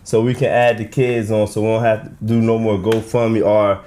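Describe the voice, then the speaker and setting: A man speaking in casual podcast chat, plain-spoken and halting as he promotes a community insurance event. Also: on the low side, level tone, dark tone, slight background noise. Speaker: event organizer guest.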